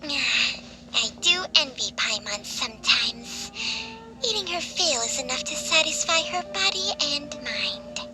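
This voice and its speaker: World-weary serpent spirit, sardonic and unhurried, game music underneath. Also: female voice, sits high, lively intonation, low-quality recording. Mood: wistful. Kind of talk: dramatic video game dialogue.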